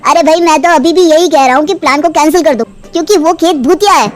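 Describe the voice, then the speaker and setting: A woman voicing a mischievous village child in a cartoon horror tale, with an excitable, exclamatory delivery. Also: high voice, fast, faint music behind, voice pushed up, lightly acted. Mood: arguing. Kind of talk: animated horror fairy-tale acting.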